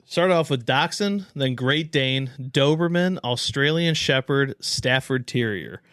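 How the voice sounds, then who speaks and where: A man's voice: mid-range voice, expressive, measured pace, fairly bright tone, clear, resonant tone, no room sound, studio quality. A man co-hosting a podcast with chatty, slangy, wry casual banter. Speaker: podcast co-host.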